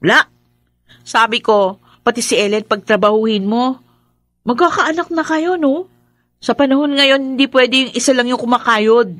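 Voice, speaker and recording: Actress as exasperated woman, high voice, sarcastic, nagging, radio drama, music underneath. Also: normal speed, long pauses, a bit bright, dry recording, close to an everyday voice. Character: exasperated, sarcastic woman scolding a jobless man. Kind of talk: Tagalog radio drama acting.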